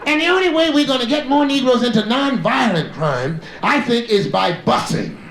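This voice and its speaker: Very high-pitched male stand-up comic. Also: animated, moderate pace. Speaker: stand-up comedian. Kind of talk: satirical stand-up comedy monologue. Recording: echoey live club, loud audience.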